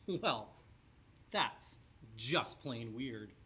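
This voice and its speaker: Male storybook narrator for children: animated, varied intonation. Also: medium pitch, very slow, slight background noise. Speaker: storybook reader.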